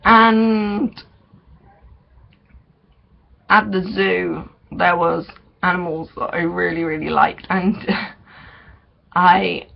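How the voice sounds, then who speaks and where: A man's voice: sits high, fairly even intonation, extremely slow, vocal fry, long pauses. A young man, chatting casually in a vlog update, hesitant with many ums and small laughs. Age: young adult. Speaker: vlogger. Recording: dull lo-fi recording with faint noise.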